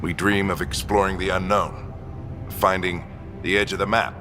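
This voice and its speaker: A man acting as a stoic older commander with a very low voice. He speaks in measured, solemn phrases like a game's team leader, with clear music behind him. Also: fairly even intonation, close to an everyday voice. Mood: rousing, solemn. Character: stoic older father leading an expedition team. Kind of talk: cinematic video game acting.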